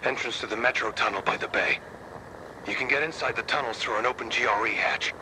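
A man's voice: mid-range voice, brisk, noisy background, close to an everyday voice. An actor playing a secretive, bargaining male fixer in a video game, talking in a terse, knowing way. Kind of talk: video game dialogue acting.

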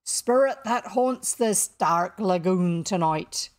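A woman's voice: medium pitch, expressive, slow. A woman voicing a proud, brooding male pirate captain in a storybook, commanding and theatrical, drawing out exclamations. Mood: demanding.

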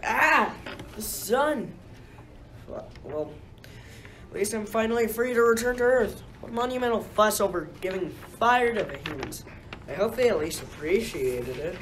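A woman plays a defiant Titan who champions humans in a radio play. The delivery is wry and grumbling, with lively swings of pitch. Faint noise sits behind the voice. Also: a little slow, natural voice. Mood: relieved, wry. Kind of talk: amateur radio play acting.

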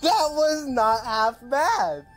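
A man's voice: very high-pitched, big pitch swings, slow, a bit bright, faint music behind. A young man making prank calls as a video host, chatting casually in a slangy, jokey way, his voice cracking at times. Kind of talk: casual prank-call chat.

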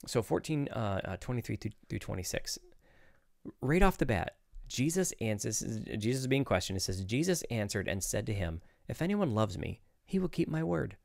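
A man's voice: mid-range voice, big pitch swings, moderate pace, creaky, a little mellow, no room sound. A man in his forties giving informal, opinionated Bible teaching in a conversational, explanatory way, close to a studio microphone. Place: small home studio, indoors. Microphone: close studio microphone on desk.

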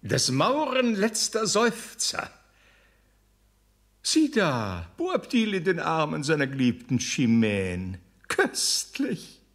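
High-voiced, gleeful mocking man. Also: wildly swooping pitch, slow pace, fairly bright tone, full character voice. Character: gleeful, mocking, imperious male captor. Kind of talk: radio play acting.

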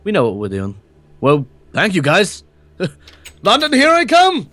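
A young man in his twenties improvising a Minecraft roleplay with excitable, playful banter. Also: high voice, a little slow, no room sound, faint music behind. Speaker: roleplay player.

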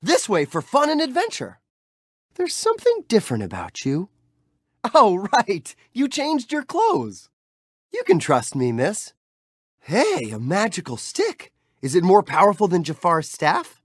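A cheerful young street-thief hero from a video game, chatty and playful as he cheers the player on. His voice swoops widely up and down with bright youthful bounce. It is recorded dry and close on a studio mic with no room sound. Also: sits high, huge pitch swings, unhurried, husky, no room sound, exaggerated voice. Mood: upbeat. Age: young adult. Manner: friendly, playful, upbeat and encouraging. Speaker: game character.

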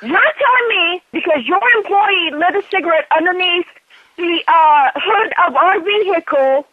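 A woman's voice: very high-pitched, lively intonation, normal speed. A woman on a tinny phone line, talking as an indignant, insistent customer. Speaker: upset customer. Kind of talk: phone conversation.

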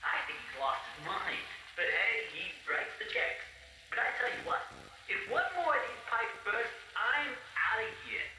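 A grumbling, sarcastic studio worker on a horror game's audio diary. The voice is very sharp and animated. It comes through as an old, distant recording with heavy echo. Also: male voice, sits high, slow pace, a little hiss or hum, full character voice. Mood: exasperated, sardonic. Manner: exasperated, sarcastic. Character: grumbling wisecracking cartoon-studio worker, adult man.

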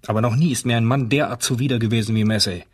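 Wanted: male actor for radio-play keeper with a navy past, rough husky tone, blunt and disdainful. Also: mid-range voice, steady tone, rapid-fire, close to an everyday voice. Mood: scornful. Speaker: actor in an audio drama. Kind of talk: German crime radio play acting.

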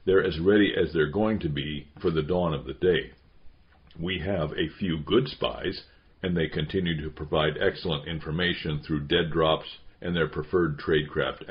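A stern recruiter of spies , played by a male reader, talks in a very low voice. He is blunt and matter-of-fact, in a storybook reading with lo-fi sound. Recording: dull, lo-fi sound.

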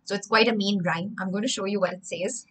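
A young woman, telling a story to children as a livestream English lesson, theatrical and emphatic, pausing to explain words. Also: mid-range voice, fairly even intonation, fairly bright tone. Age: young adult. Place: indoor room with bookshelves.

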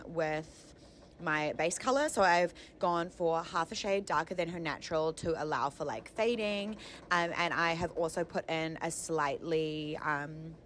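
A young woman with a fairly low voice talks through a technique in an informal, chatty tutorial style. She explains each step casually as a voice-over, with clear ambient noise behind her. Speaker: hair colourist giving a tutorial.

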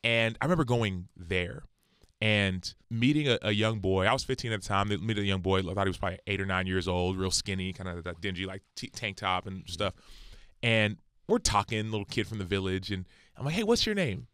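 A man's voice: sits low, brisk, fairly bright tone, clean tone, no room sound, studio quality. A man in his thirties from the United States, a podcast guest telling personal stories earnestly and acting out quoted dialogue. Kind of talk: casual conversation.

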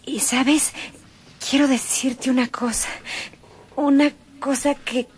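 A woman's voice: sits high, fairly even intonation, takes it slowly. An actress playing an injured, devoted young woman in love in a radio drama, speaking hesitantly and plaintively. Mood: plaintive, loving.

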